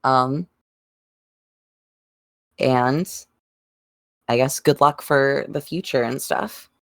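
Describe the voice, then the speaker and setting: A podcast panelist chats casually in a medium-pitched voice. The sound is close and dry, with very little room echo. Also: fairly even intonation, extremely slow, a bit bright. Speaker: podcast panelist and fandub voice actor. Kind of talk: casual chat.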